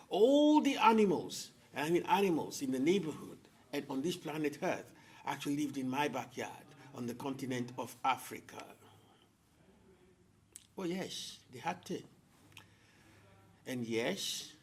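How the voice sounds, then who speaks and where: Animated high-voiced male storyteller. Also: a little hiss or hum. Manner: theatrical, dramatic pauses, teasing asides. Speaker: storyteller, host. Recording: music playing behind the voice.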